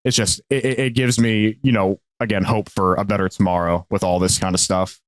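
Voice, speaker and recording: A man chatting casually as a podcast guest, informal and a little halting and self-effacing. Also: lowish voice, fairly even intonation, no echo, clean studio sound. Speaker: podcast co-host appearing as guest. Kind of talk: casual chat.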